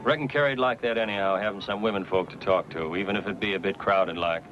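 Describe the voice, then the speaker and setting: A man's voice: lowish voice, fairly even intonation, quick pace, close to an everyday voice. A man playing a folksy pioneer family man with rustic grammar in a western radio drama. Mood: agreeable. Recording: dull lo-fi radio recording, faint noise.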